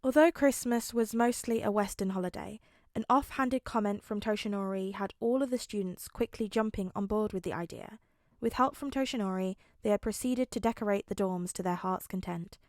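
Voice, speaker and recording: A woman's voice: mid-range voice, a little fast, few pauses, darkish tone, dry recording, studio quality. A woman reading a fan story aloud as an audiobook, narrating expressively and voicing the dialogue. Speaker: podfic reader.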